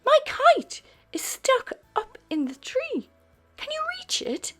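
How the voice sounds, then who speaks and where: A woman voicing a small, excited male puppy in a storybook reading, with high, eager exclamations. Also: slow, faint music behind, exaggerated voice.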